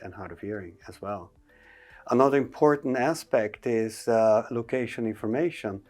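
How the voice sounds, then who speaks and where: A man's voice: medium pitch, a little mellow. A man giving an informational presentation on emergency call rules, explaining step by step with frequent hesitations.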